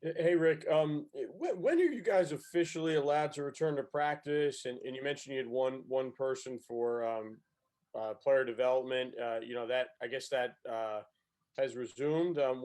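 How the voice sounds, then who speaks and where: A man asks questions as a sports reporter on a press call. His voice is mid-pitched, and he phrases things haltingly, doubling back on his words. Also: a little mellow. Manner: halting, repeats himself. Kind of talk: asking questions on a media call.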